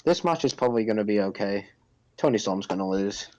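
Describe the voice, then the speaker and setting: A man co-hosting a podcast in casual recap chat, blunt and argumentative, quick to cut in. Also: fairly low pitch, animated, mellow, muffled recording. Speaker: podcast co-host.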